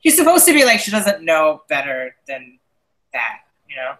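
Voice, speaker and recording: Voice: medium pitch, wildly swooping pitch, moderate pace, fairly bright tone. A podcast co-host who sounds a little congested, chatting casually about a TV show, hesitant and often trailing off.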